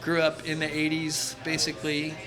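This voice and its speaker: A man in his fifties from New Jersey, with a somewhat high-set voice, telling his story in a loose, halting way. He is loud and brash by his own account and pokes fun at himself, and there are lots of stops and restarts as he searches for words. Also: completely flat delivery, music in the background. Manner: self-described loud and brash, halting with many restarts, self-deprecating humor. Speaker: interview guest. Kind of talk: casual interview conversation.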